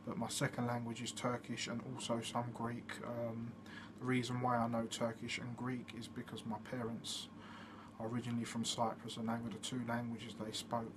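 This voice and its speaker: A man hosting his own channel, giving a casual explanatory monologue in a steady way, with frequent fillers. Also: medium pitch. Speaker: channel host. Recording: noticeable background noise.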